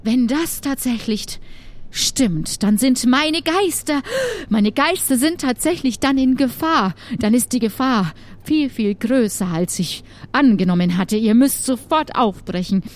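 A woman's voice: a little high, animated, a little slow. Woman acting out a solemn, protective witch. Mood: alarmed, urgent. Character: grave, protective witch who shelters ghosts. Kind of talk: improvised audio adventure acting.

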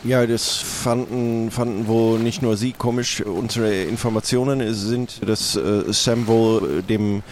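A man playing a flustered, formal pirate guild official who speaks haltingly in an improvised role-play. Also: on the low side, fairly even intonation, darkish tone, natural voice. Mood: worried, businesslike. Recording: clearly noisy background.

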